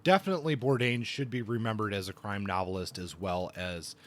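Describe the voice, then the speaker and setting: A man hosting a casual book review podcast, speaking in a thoughtful, halting way with repeated words. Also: on the low side, a bit bright.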